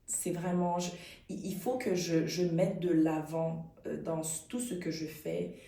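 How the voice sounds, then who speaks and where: A woman talks thoughtfully in an interview. Her voice is low and mellow, with frequent hesitations and restarts. The mic sits a little away from her in an echoey room. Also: little pitch movement, a little slow, gravelly. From Canada. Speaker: actress and interview guest. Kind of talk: conversational interview. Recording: roomy, distant mic.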